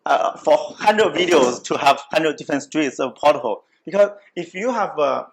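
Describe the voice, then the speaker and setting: Male talk show host, slightly high voice, emphatic and repetitive, casual opinionated delivery. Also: lively intonation, normal speed, creaky, low-quality recording.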